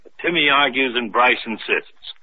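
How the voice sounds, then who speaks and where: A man's voice: mid-range voice, normal speed, a little hiss or hum. A man playing a curt police detective in an old radio mystery. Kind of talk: 1940s radio acting. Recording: tinny old radio recording with faint music.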